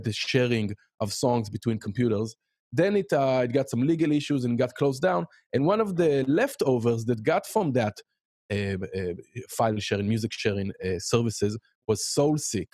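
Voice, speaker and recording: A middle-aged man from Israel with an Israeli accent, talking as a podcast guest in a halting way, with many fillers and restarts. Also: medium pitch, a little mellow, dry recording, studio quality. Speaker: podcast guest, music educator. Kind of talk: casual interview chat.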